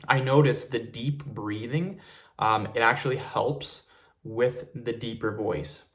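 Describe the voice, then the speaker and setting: A young man talks straight to camera as the host of a casual tutorial. His pitch sits in the middle of the male range. He keeps things relaxed and repetitive, often calling his viewers "you guys". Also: slow. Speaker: fitness video host.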